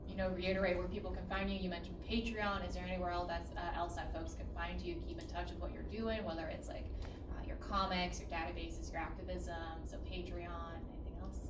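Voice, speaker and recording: A woman's voice: fairly low pitch, flat delivery, brisk. A woman with a mid-range voice hosting an author talk, speaking casually and loosely. She is heard from across an echoing room. Background noise runs steadily throughout. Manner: friendly, informal, a bit scattered. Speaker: event moderator and interviewer. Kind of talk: moderating a live conversation. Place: library event room. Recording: echoey room from a distant mic, noisy.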